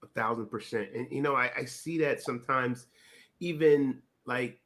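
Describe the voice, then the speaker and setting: A man's voice: mid-range voice, normal speed, fairly bright tone. Casual rambling male interview host. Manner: casual, rambling, filler-heavy.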